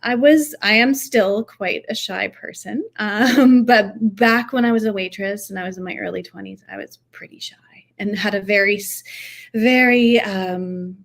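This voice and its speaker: Soft-voiced lady coach chatting, lots of ums and restarts. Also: medium pitch, moderate pace, creaky. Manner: hesitant, many fillers and restarts. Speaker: acting and hypnosis coach. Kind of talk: casual conversational chat.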